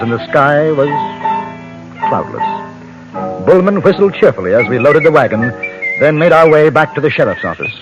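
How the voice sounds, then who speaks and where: A man acting a wry, composed gentleman narrator in an old radio western, with measured, literate and dryly understated delivery. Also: mid-range voice, natural voice. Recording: muffled old radio recording with music.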